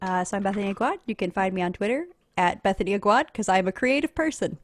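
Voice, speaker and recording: A woman's voice: mid-range voice, expressive, quick pace, dry recording. A woman talks casually as a podcast panelist. Her manner is chatty and wry, and she laughs easily. The sound is close and dry, with hardly any room to it.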